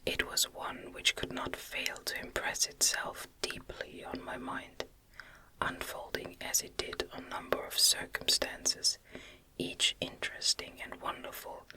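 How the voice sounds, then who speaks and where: A woman reads a gothic novel as narrator in a breathy ASMR whisper, with a gentle, measured delivery. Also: rough recording. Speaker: audiobook narrator.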